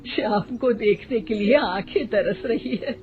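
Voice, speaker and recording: A woman's voice: on the high side, unhurried, lightly acted. A woman voicing a tender, sorrowful older mother in a cartoon family story, plaintive in manner. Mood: longing. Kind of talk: cartoon moral-story acting. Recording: thin phone-like band, loud music.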